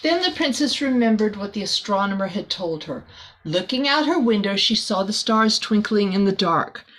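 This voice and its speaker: A woman's voice: medium pitch, animated, moderate pace, fairly bright tone, poor audio. A woman reading a children's picture book aloud expressively, adding small chatty asides for a young listener. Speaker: storybook reader.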